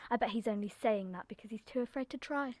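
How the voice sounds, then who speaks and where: A teenage girl playing an excitable, daring, superstitious village girl in a radio play. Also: on the high side, quick pace, dry recording, lightly acted. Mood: defiant. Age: teens.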